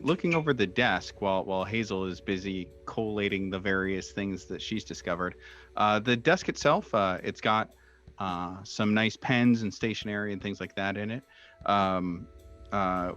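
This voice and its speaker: Low-voiced man narrating haltingly over music. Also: a bit bright. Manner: hesitant, halting, searching for words. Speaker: game master (Keeper) of a horror tabletop game. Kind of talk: improvised tabletop game narration. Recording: dull, muffled sound with music behind. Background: background music.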